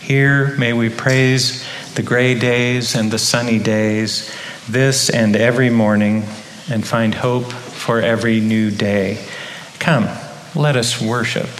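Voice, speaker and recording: A man reading a call to worship, measured and solemn. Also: medium pitch, fairly even intonation. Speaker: worship leader. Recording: echoey hall with faint noise.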